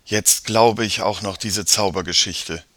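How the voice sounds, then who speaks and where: A man's voice: lowish voice, steady tone, a little slow, sharp tone, no echo. A man voicing a sceptical, puzzled young boy in a children's audiobook, speaking emphatically. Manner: emphatic, exclaiming.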